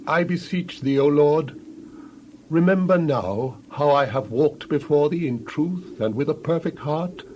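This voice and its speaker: A man's voice: fairly high pitch, a little slow, darkish tone, a little hiss or hum, close to an everyday voice, poor audio. An actor playing a devout king in a dramatized scripture reading, praying with earnest, beseeching reverence. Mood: anguished pleading. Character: devout, pleading king of Judah in prayer.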